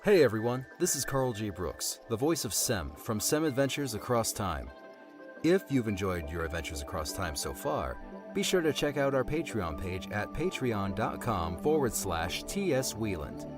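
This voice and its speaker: A man's voice: lowish voice, big pitch swings, normal speed, over music. A man reading a scripted promotional announcement for an audio series as one of its voice actors.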